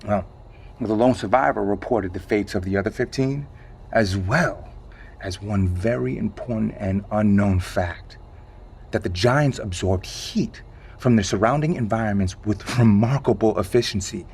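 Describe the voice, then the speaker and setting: Actor as male insider, lowish pitch, calm, evasive, expository, matter-of-fact, audio drama delivery. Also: normal speed, creaky, husky. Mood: grave, measured. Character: evasive male insider calmly briefing on a secret catastrophe.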